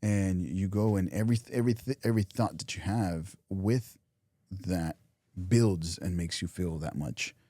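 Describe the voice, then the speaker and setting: A man co-hosting a podcast, talking casually and reflectively, often restarting phrases and dropping softer for imagined inner thoughts. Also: sits low, normal speed, no room sound. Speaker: podcast co-host. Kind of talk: casual motivational chat.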